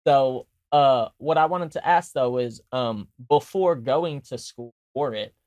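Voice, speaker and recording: A man hosts a casual podcast interview and asks his questions with plenty of halting fillers. His voice sits at an average pitch and stays easygoing. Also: darkish tone. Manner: hesitant, many uh fillers. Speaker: host.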